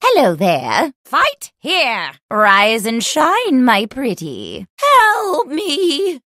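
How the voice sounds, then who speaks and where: A woman voicing an eccentric old granny witch in a video game, theatrical, doting and teasing with drawn-out exclamations. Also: high-pitched, huge pitch swings, sharp tone, no echo, studio quality, full character voice. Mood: doting, sly. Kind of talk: video game character voice lines.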